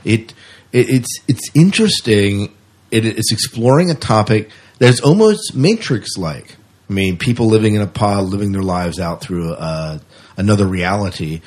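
A male podcast co-host talks through news and opinions casually and reflectively. His voice sits low and has a husky, rough edge. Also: expressive, normal speed, darkish tone. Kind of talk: casual sci-fi news and review chat.

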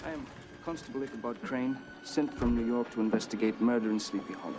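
An actor playing an earnest, skeptical young male constable from the city who speaks in a formal, measured way, heard in a film clip. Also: mid-range voice, faint music behind, lightly acted. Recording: film clip under loud orchestral score.

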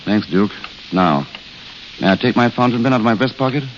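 A man's voice: on the low side, animated, fairly quick, natural voice. A man acting a fatalistic romantic idealist in an old radio drama, courteous and literary in his speech. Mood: wry, polite. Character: world-weary romantic idealist, eloquent and fatalistic. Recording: hissy, dull old radio recording.

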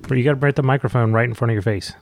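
A man's voice: mid-range voice, very fast, gravelly, no room sound. A man hosting a podcast in casual chat, coaching a child with dry, wry asides. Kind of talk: casual chat with teasing commentary.

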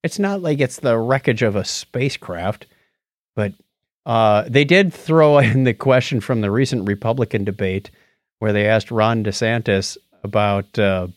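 A man's voice: lowish voice, unhurried, dry recording, clean studio sound. A man co-hosting a podcast in casual chat, with a jokey way of acting out what other people say. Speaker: podcast co-host.

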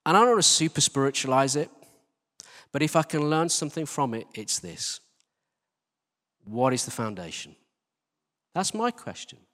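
British guy preaching, kinda high voice for a man, earnest and chatty, big echoey church hall. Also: big pitch swings, normal speed, long pauses, fairly bright tone. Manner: earnest, conversational, addressing listeners as folks. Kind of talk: informal sermon. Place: large church hall, live service. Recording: reverberant hall.

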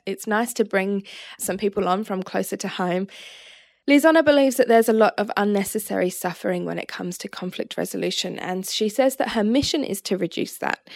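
An Australian woman hosts a podcast, speaking articulately and enthusiastically with some vocal fry. The recording is dry and close, with no room sound. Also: medium pitch, a little mellow, dry recording. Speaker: podcast host. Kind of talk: podcast intro and interview.

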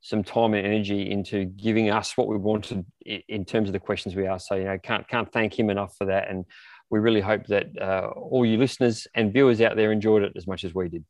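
A man's voice: fairly low pitch, fairly even intonation, brisk, no room sound. A man co-hosting a podcast, chatting casually and searching for his words with hesitant repetition. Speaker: podcast co-host. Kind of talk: casual interview chat.

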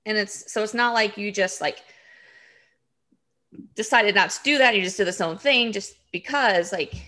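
A woman hosting a podcast with a bright, crisp edge to her voice. She asks questions in a chatty, casual way, filling her sentences with "like.". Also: mid-range voice, moderate pace. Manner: chatty, heavy use of "like". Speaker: podcast host. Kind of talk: casual interview chat.

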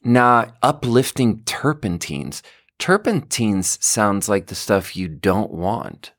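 Man, low voice, podcast host, casual rambling monologue, self-correcting, chuckles, close mic, very dry sound. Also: big pitch swings, slow, dry recording.

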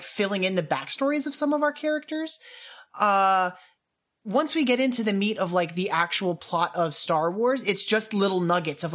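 A woman co-hosting a podcast, chatting casually in an excitable, informal way. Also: on the low side. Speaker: podcast co-host. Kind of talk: casual podcast chat about a radio drama.

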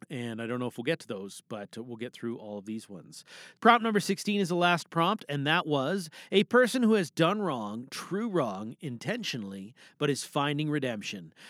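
Bright, higher-pitched man hosting a podcast. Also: clear, resonant tone, no room sound, studio quality. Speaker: host and voice performer. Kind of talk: informal podcast hosting with playful showmanship.

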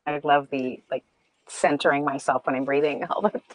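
A woman's voice: low-pitched, normal speed, no echo, poor audio. A woman chatting casually about meditation, rambling and giggling as she tells a story.

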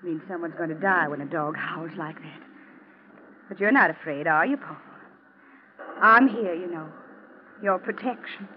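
A woman's voice: fairly low pitch, lightly acted. An actress playing a vengeful wife who coldly taunts her murderous husband, theatrical and ominous, in an old-time radio thriller. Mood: solemn, ominous. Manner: ominous, deliberate, mocking, theatrical. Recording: muffled, noisy old radio drama recording.

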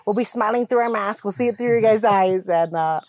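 A woman's voice: medium pitch, brisk, a crowd in the background. A woman from the winery staff, upbeat and welcoming. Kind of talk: casual chat.

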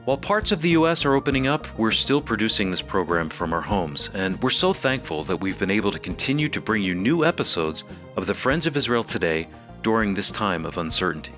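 A man's voice: fairly low pitch, very animated, brisk, a bit bright, faint music behind. A man with an American accent reading scripted announcements as co-host of a radio program.

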